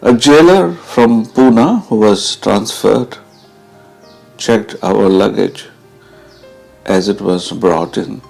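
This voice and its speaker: Male narrator, very slow pacing, gravelly low voice, deliberate pauses, dramatised reading, faint music behind. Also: darkish tone. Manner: measured, deliberate, with pauses between phrases. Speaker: narrator reading a memoir in the first person.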